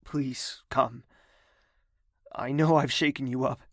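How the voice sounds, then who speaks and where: A man playing a brooding, menacing young prince in an audio drama, speaking in a measured, gravelly, husky voice. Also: medium pitch, steady tone, no room sound, clean studio sound. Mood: apologetic, coaxing. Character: brooding, menacing young prince, tender toward his mother.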